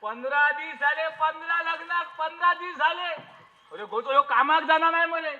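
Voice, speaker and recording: A man's voice: very high voice, moderate pace, bright, forceful tone, highs cut off, heavily acted. A man acting in a Malvani village play as an impatient, stubborn villager who bluntly insists on splitting the household. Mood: indignant, fed up. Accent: Malvani Konkani. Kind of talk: theatrical one-act play acting.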